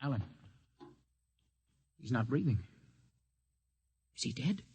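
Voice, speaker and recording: An actor playing an impetuous, questioning young rebel in an old radio drama, forceful and challenging. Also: male voice, mid-range voice, animated, slow pace, breathy edge, full character voice. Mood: urgent. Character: impetuous, defiant, questioning young man, a heretic. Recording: noisy old radio recording.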